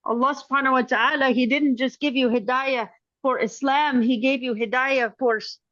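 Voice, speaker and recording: Dull, close and dry online call audio carries a woman hosting a talk. Her voice is on the high side. She speaks in a casual, conversational way. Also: steady tone, moderate pace, fairly bright tone, dry recording. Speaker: host.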